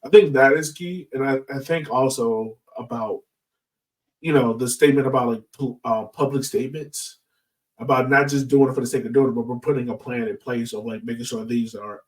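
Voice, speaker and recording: A man from the American South with a medium-pitched voice discusses things casually on a sports podcast. He thinks aloud as he explains, and he sounds somewhat distant from the microphone. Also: fairly even intonation, a little fast. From southern United States. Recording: distant from the mic.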